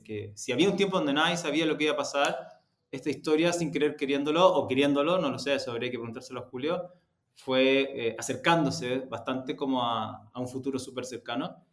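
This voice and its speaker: A man with a Chilean accent giving spontaneous, reflective interview answers, often searching for words and rephrasing. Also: mid-range voice. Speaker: interview guest, podcast company executive. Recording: roomy, echoing sound.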